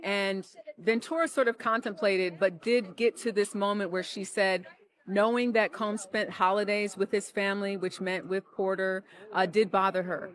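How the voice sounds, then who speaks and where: A woman's voice: medium pitch, fairly even intonation, moderate pace. A woman working as a court reporter, giving an unscripted live news account.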